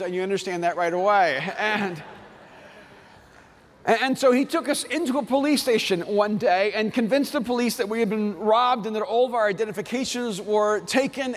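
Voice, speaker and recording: High-voiced preacher in a reverberant hall. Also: male voice. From El Salvador. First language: Spanish. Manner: storytelling, earnest and personal. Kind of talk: sermon with scripture reading.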